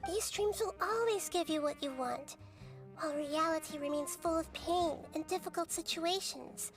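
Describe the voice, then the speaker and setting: Wise little game goddess: high child's voice, soft, measured, gently explanatory, over music. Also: female voice. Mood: gently concerned. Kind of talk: video game character acting.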